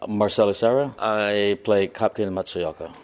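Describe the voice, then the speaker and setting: A man, a stage actor giving interview answers with formal wording and halting phrasing. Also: lowish voice, steady tone, a little mellow.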